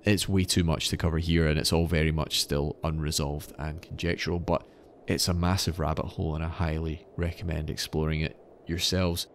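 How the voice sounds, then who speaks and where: A man hosting a podcast, telling stories in a semi-scripted, articulate and measured explanatory way. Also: extremely low pitch, level tone, slight background noise. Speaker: podcast host. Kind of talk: semi-scripted storytelling narration.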